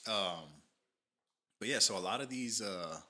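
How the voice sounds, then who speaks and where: A low-voiced man talks through his stream in a loose, rambling way, full of fillers and restarts. His tone is bright and forceful, with a slight nasal edge from allergies. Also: expressive, a little slow. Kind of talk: casual livestream commentary, reading from a page.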